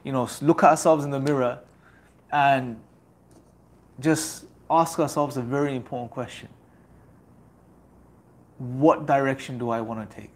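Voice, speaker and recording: A man's voice: mid-range voice, darkish tone. A young man giving an earnest, exhorting religious talk that leans on rhetorical repetition. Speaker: religious speaker.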